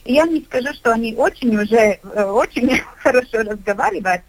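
A middle-aged woman, a kindergarten director, speaking on a radio discussion in a halting way, with many filler sounds. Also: on the high side, animated, poor audio. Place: radio studio.

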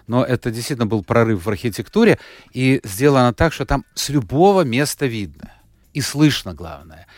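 A man's voice: medium pitch, no room sound, studio quality. A man being interviewed who talks in a rambling way, with many fillers and restarts, and sounds like he is getting over an illness. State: unwell, recovering. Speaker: radio host, guest here. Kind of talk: relaxed conversational interview.

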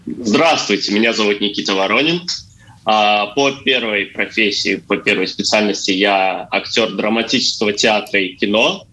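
A man in his thirties talks as a guest in an interview. His tone is clearly bright and focused, at a fairly ordinary, slightly low pitch. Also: normal speed, low-quality recording. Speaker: actor and stage designer, interview guest. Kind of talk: interview answers.

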